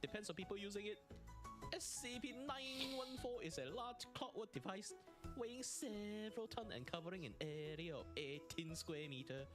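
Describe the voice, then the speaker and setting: A wry, offhand uncle narrator, voiced by a man, explaining things with a lively, bouncing pitch. Music plays clearly underneath the clip. Every line sounds tossed off and amused. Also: normal speed. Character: jokey middle-aged uncle narrator.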